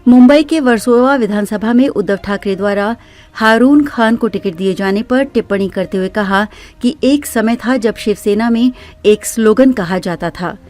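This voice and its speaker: A woman's voice: on the high side, normal speed, a little mellow. A woman delivering a scripted news voice-over in a formal, declarative manner. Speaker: news narrator. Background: background music.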